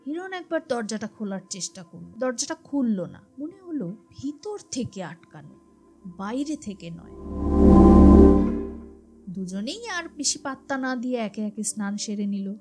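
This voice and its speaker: A woman's voice: on the high side, very animated, measured pace, darkish tone. A woman narrating a scripted horror story in a dramatic storytelling style. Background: music behind the voice.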